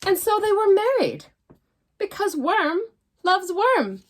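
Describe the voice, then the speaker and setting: Casting: woman storytime presenter, high-pitched, very expressive, slow and affectionate, chatting to young listeners. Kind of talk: picture book read-aloud for children.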